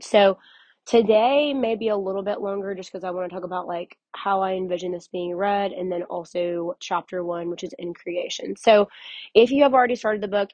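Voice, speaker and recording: A young woman, hoarse from losing her voice, hosting a casual podcast in a chatty, candid way with many fillers. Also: medium pitch, darkish tone, no echo, lo-fi sound. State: hoarse, recovering from lost voice. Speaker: podcast host and author. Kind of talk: casual solo podcast talk.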